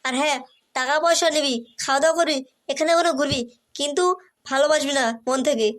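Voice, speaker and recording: A woman's voice: sits high, fairly even intonation, fast, a bit bright. A woman voicing a bossy, teasing elder sister who coaxes and advises in a comic cartoon. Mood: teasing, coaxing.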